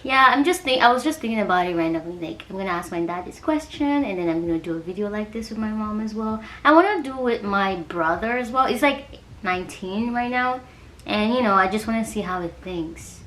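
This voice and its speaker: A woman's voice: medium pitch, animated, fairly quick, a bit bright. A woman in her twenties chatting casually on her vlog, rambling and giggly, with lots of "like". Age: 20s. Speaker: vlogger. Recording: roomy with background noise.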